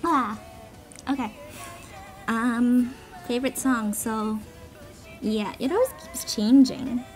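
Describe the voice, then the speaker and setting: A woman's voice: fairly high pitch, slow pace, pauses often, low-quality recording. A woman with a playful, giggly, self-deprecating manner, chatting casually and ramblingly to online listeners. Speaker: amateur cover singer answering a voice meme. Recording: music playing behind the voice. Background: background song.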